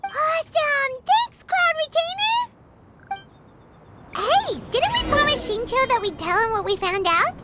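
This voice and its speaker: A woman's voice: extremely high pitch, big pitch swings, a little slow, noisy background, heavily acted. A woman voicing a tiny, bubbly floating companion creature in a video game, eager and chatty. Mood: excited. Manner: bubbly, eager, speaks of herself by name.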